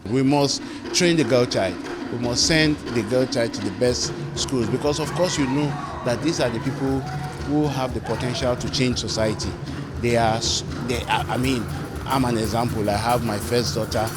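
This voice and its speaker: A man with a Nigerian accent giving earnest interview remarks, repeating phrases for emphasis. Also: medium pitch. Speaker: event guest interviewee. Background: loud music.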